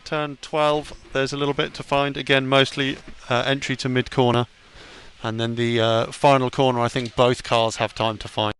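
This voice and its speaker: A man debriefing a driver over team radio in a calm, technical way. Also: mid-range voice, moderate pace, a little hiss or hum. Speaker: race engineer.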